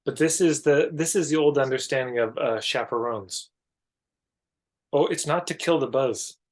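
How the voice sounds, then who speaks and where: A man's voice: medium pitch, moderate pace. A man with a Canadian accent talking as a podcast guest, explaining ideas in an emphatic, conversational way and acting out imagined dialogue to make points. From Alberta, Canada. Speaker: podcast guest, marketing coach.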